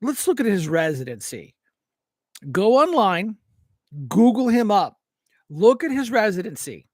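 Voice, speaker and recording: Man, American accent, high voice, dry close sound, blunt, folksy, opinionated, repeats himself for emphasis. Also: big pitch swings, measured pace, a little mellow, no echo. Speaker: talk show host and local political candidate. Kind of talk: opinionated political rant, informal and off-the-cuff.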